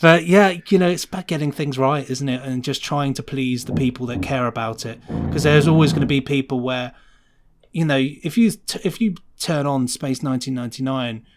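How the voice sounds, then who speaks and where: A man with a medium-pitched voice talks as a guest in a relaxed interview. He is chatty and enthusiastic, and likes to tag questions onto what he says. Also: moderate pace, noisy background. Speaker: podcast guest, sound designer. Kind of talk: casual interview chat.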